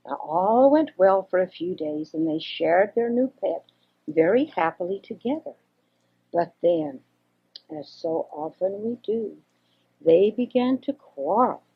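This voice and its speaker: An older woman reads a children's story expressively, with a husky voice, on a homemade cassette. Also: mid-range voice, animated, unhurried. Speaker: storyteller reading for children and grandchildren. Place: home recording. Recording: dull homemade 1980s cassette recording.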